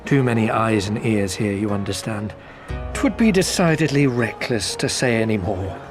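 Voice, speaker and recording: Man playing a florid, smooth-talking merchant, highly animated. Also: normal speed, music in the background, natural voice. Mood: sly, confiding. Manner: florid, circuitous, unctuously polite. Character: smooth-talking, theatrically courteous male merchant and company agent. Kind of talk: scripted video game character dialogue.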